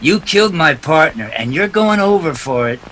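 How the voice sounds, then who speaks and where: A man's voice: on the high side, animated, normal speed, fairly bright tone, poor audio. A man acting a hard-boiled, unyielding private detective in 1940s radio drama style, tough and emphatic. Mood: hard, insistent.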